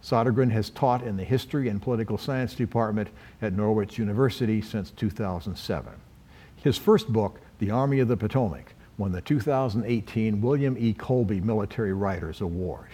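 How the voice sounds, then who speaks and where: A man with a husky, mellow voice reads a formal introduction for a guest speaker. His delivery is measured and even, and his pitch sits a little low. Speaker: event host introducing a speaker.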